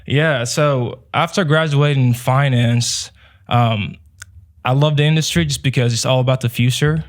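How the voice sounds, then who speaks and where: A young man from Nigeria, answering as a guest in a relaxed way with many fillers. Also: mid-range voice, unhurried. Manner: relaxed, many "um" and "you know". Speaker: guest, business owner. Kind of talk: casual interview answers.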